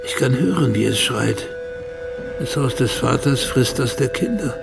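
A man playing the melancholy, wistful father of a decayed wealthy family in a radio drama, with a gravelly voice. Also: mid-range voice, animated, unhurried. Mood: mournful. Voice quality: gravelly, creaky. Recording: radio play with loud music bed.

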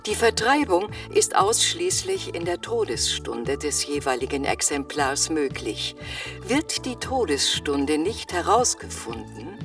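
A woman playing a formal automated menu voice in a children's radio play, measured and officious. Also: deep voice, huge pitch swings, exaggerated voice. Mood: formal, polite. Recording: distant voice over clear music.

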